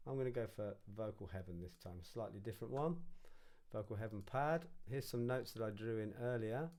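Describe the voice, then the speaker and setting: A man's voice: fairly low pitch, a little fast, darkish tone. A man narrating an informal music production tutorial, casually thinking aloud as he works.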